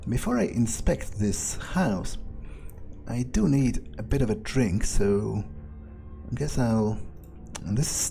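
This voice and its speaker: A man with a soft-edged, slightly low voice mutters through an in-character game playthrough. He thinks aloud at a very slow pace, hesitant and casually profane, with game music underneath. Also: vocal fry. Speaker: let's-play gamer speaking in character.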